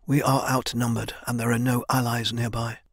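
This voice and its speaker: A man's voice: medium pitch, level tone, no room sound, clean studio sound, lightly acted. Man voicing a weary, solemn old female elder. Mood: grave warning. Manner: solemn, measured, quiet. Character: weary, dying female elder, grave dragon mentor.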